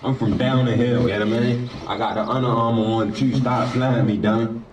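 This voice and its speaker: A man's voice: medium pitch, fairly even intonation, a little fast, noisy background. A man talking straight to his camera in a brash, slang-heavy style with a lot of swearing. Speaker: vlogger. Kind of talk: casual video selfie talk. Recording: roomy and distant.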